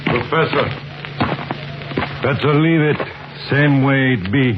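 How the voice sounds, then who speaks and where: Actor as wary guide in broken English, muffled recording. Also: male voice, medium pitch, slow pace, lightly acted. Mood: wary warning. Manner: terse, broken, ungrammatical English. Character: superstitious, wary Indian guide warning of evil spirits. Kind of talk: 1940s radio drama acting. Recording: muffled old radio recording, music behind.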